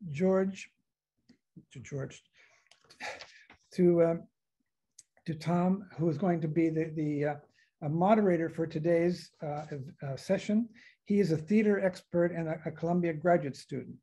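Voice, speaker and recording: Older guy in his 60s reading formal intros on a video call, high mellow voice, bit halting. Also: husky. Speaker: event host giving opening remarks. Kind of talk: formal introductory remarks read from notes. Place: office with bookshelves, indoors. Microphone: video call computer microphone.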